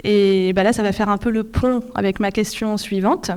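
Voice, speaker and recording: A woman interviewing a guest at a public event, asking formal, measured questions. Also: medium pitch, fairly even intonation, a little mellow, smooth.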